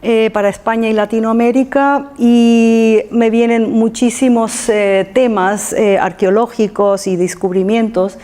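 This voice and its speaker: Woman, non-native speaker, mellow timbre, informal lecture, halting delivery, searching for words. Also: a little high, steady tone. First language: not Spanish. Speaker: speaker giving a talk.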